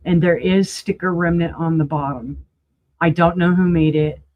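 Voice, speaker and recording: A woman running a live auction sale, chatty and enthusiastic, with a voice that is going hoarse. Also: fairly low pitch, fairly even intonation, moderate pace, lo-fi sound. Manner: chatty, enthusiastic, rambling asides. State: losing her voice, clearing throat.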